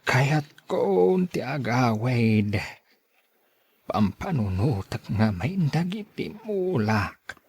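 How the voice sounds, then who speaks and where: A man playing a weary old grandfather in an Ilocano radio drama, halting and gently complaining. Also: mid-range voice, sing-song, full character voice. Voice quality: husky, creaky.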